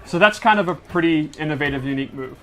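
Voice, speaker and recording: A man's voice: on the high side, fast talker, pushed and bright, slight background noise. A man presenting a casual gameplay breakdown, explaining fighting-game moves in an enthusiastic, technical way.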